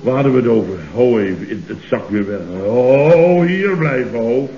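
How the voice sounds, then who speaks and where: An actor playing a blustering, easily offended and muddled boss in a comic radio play, pompous and repetitive. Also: male voice, mid-range voice, normal speed, lightly acted. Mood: dazed, muddled. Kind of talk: comic radio play acting, around 1970. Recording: muffled, roomy vintage radio recording.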